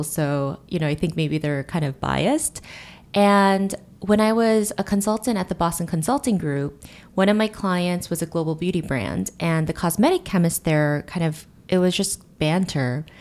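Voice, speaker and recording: A woman's voice: lowish voice, lively intonation, normal speed, a little mellow. A woman in her thirties, a company founder, answering interview questions fluently and earnestly, with many "you know"s and "really"s. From United States and Korea. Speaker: guest, beauty company founder.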